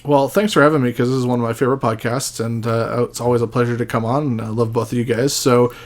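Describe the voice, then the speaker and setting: A man's voice: mid-range voice, steady tone, fast. A man appearing as a podcast guest, chatting casually. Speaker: podcast guest, wrestling writer.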